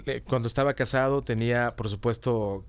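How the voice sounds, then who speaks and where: A man's voice: mid-range voice, a little fast, clean tone, dry recording. A middle-aged man with a Mexican accent, explaining things casually in an interview and often ending phrases with a checking tag. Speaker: podcast guest, comedy teacher and writer. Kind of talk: casual conversational interview answers.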